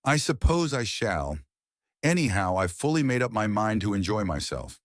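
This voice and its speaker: A man's voice: fairly low pitch, big pitch swings, moderate pace, no echo, studio quality, pitched above the performer's own voice. A man voicing a cheerful, spirited young English society woman from a pulp story, chatty and teasing in manner. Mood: defiant, cheerful.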